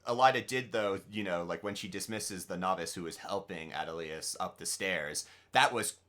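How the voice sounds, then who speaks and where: A man speaks in a low but bright, forceful voice as a review show co-host. He is informal and blunt, offering opinions in loose conversational phrasing. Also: moderate pace. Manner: informal, blunt, swears casually. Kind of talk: casual opinionated episode discussion.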